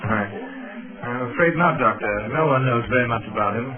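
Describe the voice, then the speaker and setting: An actor playing a suave, thoughtful gentleman sleuth in a 1930s radio drama, polished and deliberate. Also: mid-range voice, close to an everyday voice. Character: suave, thoughtful gentleman amateur criminologist and secret crimefighter. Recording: tinny 1930s radio with crowd murmur.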